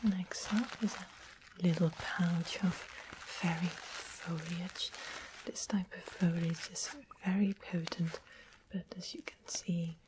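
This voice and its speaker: Female performer as sweet fantasy witch for ASMR: hushed, unhurried, explanatory whisper, noticeable background noise. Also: on the low side. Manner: slow, gentle, explanatory. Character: sweet-seeming witch of the west, potion maker. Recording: close whisper with clear background hiss.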